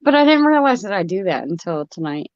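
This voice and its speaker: A woman's voice: lowish voice, very animated, fairly quick, no echo. A middle-aged American woman with an Ohio accent and a trace of Florida, rambling and laughing often as she chats on a live stream. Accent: Ohio, with some Florida. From United States. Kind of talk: casual live stream chat. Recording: dull, dry lo-fi mic.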